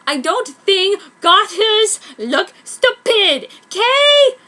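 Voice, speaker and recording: A woman's voice: big pitch swings, pushed and bright, full character voice. A woman voicing a petulant, gushing teenage girl fanfiction author, overdramatic and drawing out her words. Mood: defensive. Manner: shrill, overdramatic, drawn-out words.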